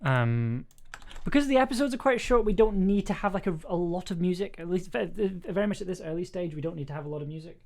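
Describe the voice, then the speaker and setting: A streamer talking casually and haltingly while making music, thinking aloud with playful exclamations. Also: mid-range voice, animated, vocal fry, a little mellow. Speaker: streamer, audio drama producer and composer. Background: music being worked on, keyboard clicks and typing.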